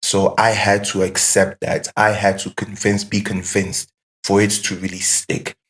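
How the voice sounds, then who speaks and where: A man's voice: lowish voice, flat delivery, measured pace, fairly bright tone. A man speaking as a guest in a casual, reflective conversation, questioning emphatically and repeating phrases to drive a point home. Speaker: podcast guest.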